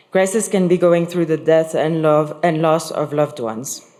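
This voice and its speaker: A woman's voice: sits low, a little mellow. A woman from Lebanon giving a prepared conference speech, earnest with touches of dry humor. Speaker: conference speaker. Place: large conference hall. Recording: echoey conference hall.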